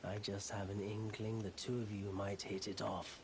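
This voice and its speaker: An actor playing a weary, soft-spoken wandering merchant in a fantasy video game, speaking in a hushed, measured, confiding way. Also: male voice, fairly low pitch, level tone, background noise, close to an everyday voice. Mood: wry, inviting. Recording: game dialogue with clear music behind. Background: music.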